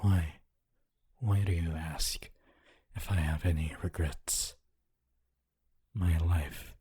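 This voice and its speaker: A very deep, heavily breathy male ghost, performed by a man for an intimate ASMR roleplay. He is a centuries-dead scholar, gentle and wistful. His formal phrases come out very slowly, with long pauses. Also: steady tone, exaggerated voice. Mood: wistful, reflective. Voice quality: low, breathy, husky.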